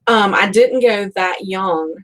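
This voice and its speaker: A woman's voice: medium pitch, slow, fairly bright tone. A woman hosts an informal online book show. She talks in a rambling, conversational way, with a self-deprecating streak as she shares bits of everyday life. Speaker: host of a book fan live show. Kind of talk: casual chat.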